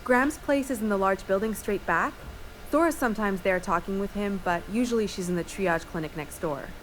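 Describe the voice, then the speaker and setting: A woman's voice: mid-range voice, brisk, lightly acted, poor audio. A woman acting a folksy frontier settler, faint noise. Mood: chatty, helpful. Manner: chatty, plainspoken, folksy. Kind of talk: video game character dialogue.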